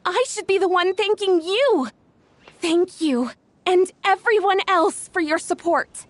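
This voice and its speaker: A woman's voice: very high-pitched, a little slow, no echo. A woman voicing an earnest young event organizer in a video game, chatty, friendly and a little flustered. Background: soft game music.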